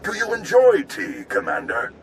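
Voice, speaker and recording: An actor playing a polite, formal Republic official in a video game, with a genteel, earnest manner. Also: male voice, high-pitched, huge pitch swings, moderate pace, vocal fry, a little hiss or hum, heavily acted. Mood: courteous, pleased. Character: courteous, tea-loving male Republic official, formal and earnest. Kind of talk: video game cutscene acting.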